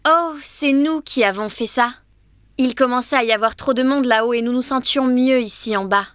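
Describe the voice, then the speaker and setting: A woman's voice: fairly high pitch, moderate pace, clear, resonant tone, no room sound, clean studio sound, close to an everyday voice. A woman voicing a friendly young mermaid in a children's cartoon, polite and welcoming in manner. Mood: explaining.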